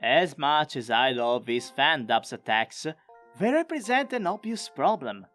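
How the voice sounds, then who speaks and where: A man giving an enthusiastic, expressive, chatty scripted recap as a video creator. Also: on the high side, unhurried, soft background music. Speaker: video creator and amateur voice actor.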